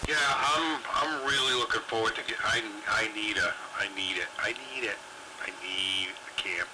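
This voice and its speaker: A man's voice: fairly low pitch, moderate pace. A man chatting casually over CB radio, swearing freely and often doubling back on his phrases. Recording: very noisy CB radio transmission.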